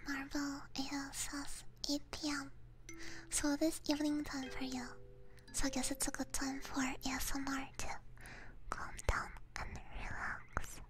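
A woman whispering softly and soothingly in ASMR livestream chat, very close to a binaural microphone. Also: high-pitched, completely flat delivery, a little slow. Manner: soft, breathy, soothing whispering.

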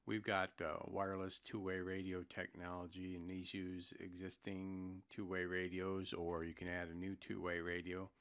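An American man giving an informal product explainer as a presenter, plain-spoken and hesitant, pausing mid-sentence. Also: low-pitched, monotone, fairly bright tone, clean tone. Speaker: product presenter for an intercom seller. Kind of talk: informal product explainer over screen recording.

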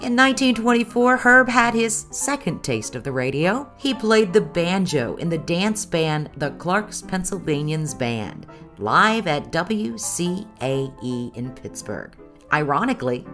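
A woman reading scripted documentary narration in a measured, earnest storytelling way. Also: fairly low pitch, sing-song, fairly bright tone, soft background music.

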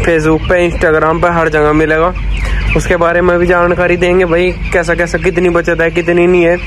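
High-voiced young man, noisy recording. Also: level tone, unhurried. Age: young adult. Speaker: vlogger. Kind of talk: casual vlog chat. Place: open-sided farm shed and street shopfront. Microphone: handheld phone. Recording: noisy outdoor phone recording.

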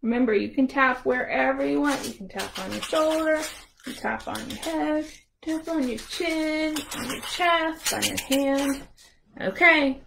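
A woman's voice: high-pitched, a little slow, gravelly, background noise. A woman hosting a storytime for young children, talking simply and encouragingly to toddlers. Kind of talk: interactive children's storytime with songs and rhymes.

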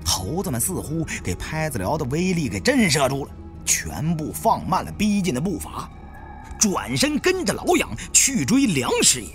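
A male storyteller delivers suspenseful adventure narration in a theatrical style. His pitch swings very widely, and soft music plays behind him. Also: wildly swooping pitch, normal speed. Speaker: audiobook narrator. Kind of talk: dramatic first-person adventure narration.

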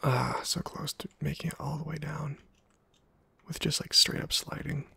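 Whispery, very creaky man murmuring game commentary. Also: fairly high pitch, fairly even intonation, normal speed, no room sound. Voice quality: breathy, whispery, soft-spoken. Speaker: gaming video host.

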